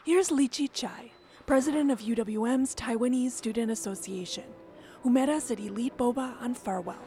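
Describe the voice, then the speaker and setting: A woman radio reporter reading a scripted feature narration in a measured, descriptive storytelling way. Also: on the high side, dark tone.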